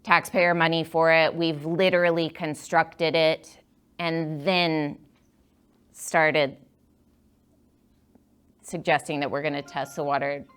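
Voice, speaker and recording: A woman's voice: low-pitched, level tone, slow, long pauses, clean tone. A woman speaking at a public meeting, chatty and hesitant, with many fillers and restarts. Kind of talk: casual talk with audience questions. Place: meeting room.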